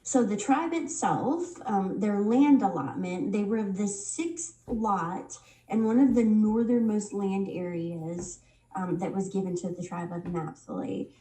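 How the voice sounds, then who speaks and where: A woman's voice: medium pitch, warm tone, far from the mic. Woman with a cold teaching in an echoey room. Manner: unhurried, many ums and restarts. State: recovering from a head cold. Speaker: Bible study teacher. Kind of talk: casual Bible study lesson.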